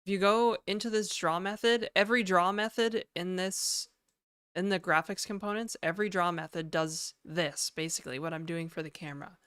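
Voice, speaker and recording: A hoarse woman talks through her code on a live stream, casual and cursing freely, with plenty of "like" between thoughts. Her voice sits on the low side for a woman. The sound is dry and close, with no room echo. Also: normal speed, fairly bright tone. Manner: casual, swearing, thinking aloud with many fillers. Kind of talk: live coding stream commentary. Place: home apartment.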